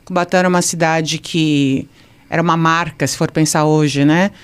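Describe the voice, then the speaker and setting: Smooth-voiced woman interviewer. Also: deep voice, steady tone, moderate pace. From Santos, Brazil. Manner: discursive, peppers speech with tag questions. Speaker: podcast host. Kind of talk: conversational interview questions.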